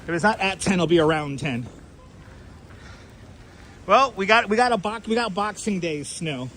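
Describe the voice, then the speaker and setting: Male streamer, high bright voice, casual chat to his audience while walking outside. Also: animated, normal speed, slight background noise. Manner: chatty, answers viewers' chat by name. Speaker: livestream host. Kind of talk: casual live street commentary. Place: outdoors on a city street at night in snow. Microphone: wireless clip-on mic.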